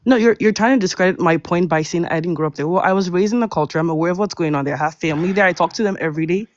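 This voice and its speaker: A woman's voice: lowish voice, rapid-fire, no echo. A woman caller in an online debate, standing her ground and insisting on her view without letting up. Her voice comes through dry, with no room sound, and the audio is dull and lo-fi. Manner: defensive and insistent. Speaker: guest in a live discussion. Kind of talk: livestream debate.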